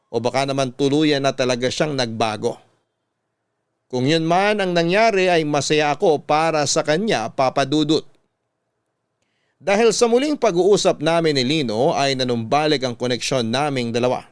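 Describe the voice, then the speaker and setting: A man with a Filipino accent reading out a young woman's love letter as scripted radio narration, measured and heartfelt. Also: a little high, lively intonation, smooth, no echo, natural voice. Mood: reflective, heartfelt.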